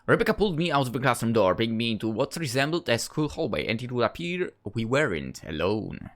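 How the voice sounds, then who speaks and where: A woman's voice: rumbling low, very animated, fast. A woman hosting a gaming video, reading story narration and adding amused, rambling casual commentary.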